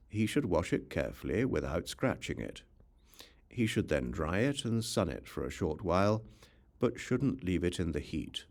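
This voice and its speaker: A man narrating a scripture audiobook in a measured, instructional manner. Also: sits low, creaky, darkish tone. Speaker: audiobook reader.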